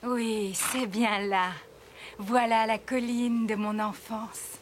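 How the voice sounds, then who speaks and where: A woman playing a frail, kind, wistful old woman in a French cartoon, with a soft voice that turns breathy at times. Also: fairly high pitch, fairly even intonation, takes it slowly, background noise. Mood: moved, nostalgic. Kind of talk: French animated series dubbing.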